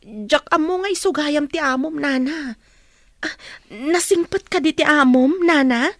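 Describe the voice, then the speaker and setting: A woman's voice: high-pitched, measured pace, no echo, clean studio sound. An actress playing a polite, naive young country woman in an Ilocano radio drama. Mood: curious.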